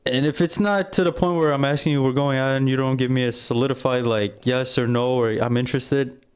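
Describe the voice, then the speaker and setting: A man's voice: mid-range voice, steady tone, brisk, smooth. A young man co-hosting a casual podcast, talking in blunt, joking banter. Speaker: podcast co-host. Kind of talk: casual chat.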